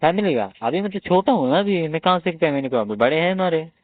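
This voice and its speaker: A man's voice: fairly high pitch, animated, quick pace, no echo. An Indian man on a casual phone conversation as a loan customer, evasive, stubborn and nonchalant in his answers. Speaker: loan customer on a collection call. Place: on a phone line. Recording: tinny, narrowband phone call recording.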